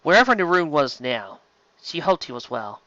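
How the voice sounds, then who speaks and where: A man's voice: a little high, very animated, moderate pace, bright, forceful tone, no echo. A man reading science fiction as a dramatic narrator, recorded close and slightly dull. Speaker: story reader.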